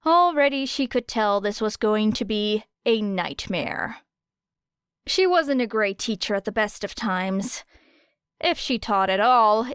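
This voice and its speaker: A woman's voice: mid-range voice, clean tone, no echo, clean studio sound. A woman narrating a scripted story as an audiobook reader.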